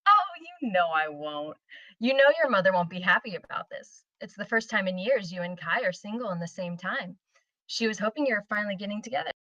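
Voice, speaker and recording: A woman's voice: mid-range voice, lively intonation, brisk, pushed and bright, no room sound. A woman playing a protective, teasing aunt at a screenplay table read. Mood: teasing, affectionate. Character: teasing, protective aunt who raised her niece. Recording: slightly dull, lo-fi microphone sound.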